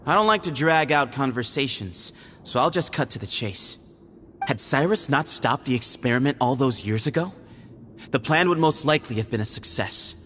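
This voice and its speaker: A man voicing a calm, shrewd young temple heir. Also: mid-range voice, moderate pace, a little hiss or hum, close to an everyday voice. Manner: calm, matter-of-fact.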